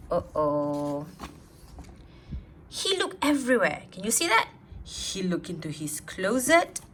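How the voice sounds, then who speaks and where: A woman reading a storybook aloud to young children in an expressive, teacherly way, drawing out words and asking questions. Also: mid-range voice, slight background noise.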